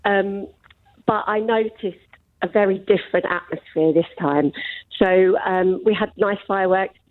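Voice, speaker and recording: A woman's voice: medium pitch, unhurried, dry recording. A woman calling a radio phone-in from London, nervous and hesitant, with lots of fillers. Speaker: radio caller. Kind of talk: phone-in call. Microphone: telephone line. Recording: tinny phone line.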